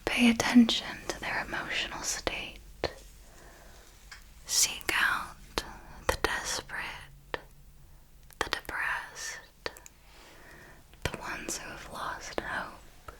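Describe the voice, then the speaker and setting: A woman playing a refined, predatory vampire mentor in an ASMR roleplay, whispering in a slow, measured, intimate way. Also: bright, forceful tone, rough recording. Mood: coolly instructive. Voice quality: breathy whisper. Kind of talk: ASMR roleplay, scripted monologue.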